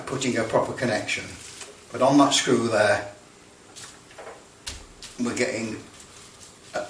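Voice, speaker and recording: A British man giving a casual hands-on demonstration, halting as he thinks aloud. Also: mid-range voice, a bit bright. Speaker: presenter demonstrating electrical testing. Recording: roomy, distant mic with background noise.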